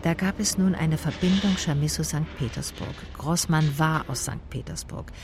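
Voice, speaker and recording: An actress playing a wary, dryly observant private detective, narrating and speaking in a radio drama in a matter-of-fact manner. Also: female voice, low voice, moderate pace, noisy background. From Swabia, Germany. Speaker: first-person narrator and lead character.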